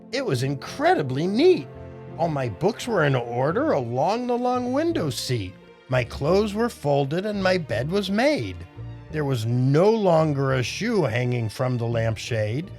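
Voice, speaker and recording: Animated older American man, higher-pitched storyteller. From United States. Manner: theatrical, addresses young listeners with questions. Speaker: children's storyteller and show host. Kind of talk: expressive children's story read-aloud.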